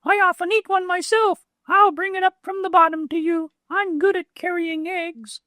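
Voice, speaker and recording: Boastful little weasel, very high squeaky voice, brisk and proud, dry sound. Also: male voice, fairly even intonation, sharp tone, dry recording. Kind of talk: storybook read-aloud.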